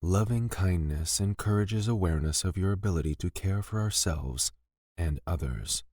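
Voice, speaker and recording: A man leading a scripted guided meditation in a gentle, soothing and deliberate manner, pausing between phrases. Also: deep voice, dry recording, studio quality.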